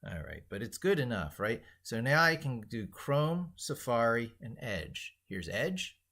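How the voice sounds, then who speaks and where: A man's voice: medium pitch, big pitch swings, unhurried, sharp tone. A man hosting a casual live screen-share stream, rambling and thinking aloud as he demonstrates things into a microphone. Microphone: speaking into a microphone.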